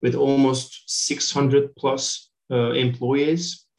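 A man's voice: mid-range voice, flat delivery, takes it slowly, gravelly. Middle-aged male company director, Turkish accent, mid-range voice, informal interview answers on an echoey online call. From Turkey. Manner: informal, peppered with "you know".

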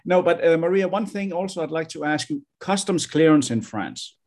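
A man's voice: a little high, expressive, darkish tone. A man with a Danish accent interviewing a guest in casual English, often stumbling and repeating words. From Denmark. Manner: halting, repeats words, laughs easily.

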